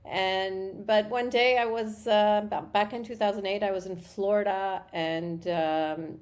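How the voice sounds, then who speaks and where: A woman's voice: medium pitch, steady tone, measured pace. A woman from Morocco, a guest founder of a hair product company, telling her story in a chatty interview and restarting sentences often. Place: Montreal.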